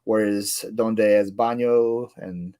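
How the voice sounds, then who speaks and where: A man's voice: fairly low pitch, steady tone, slow pace, dark tone, clean tone. A man from Ukraine with a slight Ukrainian and northeastern American accent, chatting casually as a podcast guest, laughing often and repeating his words. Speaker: guest reseller.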